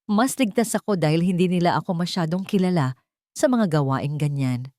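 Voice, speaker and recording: A woman's voice: fairly low pitch, lively intonation, normal speed, darkish tone, no room sound, natural voice. A woman narrator plays a protective young hero of a love story. He is resolute, firm and urgent, recorded dry.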